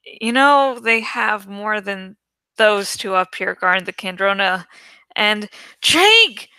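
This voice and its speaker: A woman's voice: mid-range voice, lively intonation, takes it slowly, pushed and bright, smooth, dry recording, full character voice. A woman voicing an anxious teenage girl who speaks urgently and exclaims in an audiobook-style story reading. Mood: alarmed. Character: Cassie, anxious teenage girl crying out warnings.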